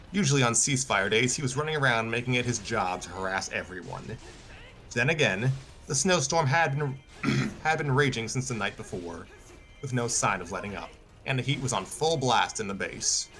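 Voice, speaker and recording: A man's voice: medium pitch, bright, forceful tone. A man narrating a scripted story as host of a live stream reading. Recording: music behind the voice.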